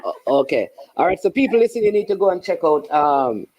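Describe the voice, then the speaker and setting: A man hosting a casual interview, friendly and halting, often repeating words. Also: high-pitched, big pitch swings, darkish tone, dry recording. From Jamaica. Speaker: host.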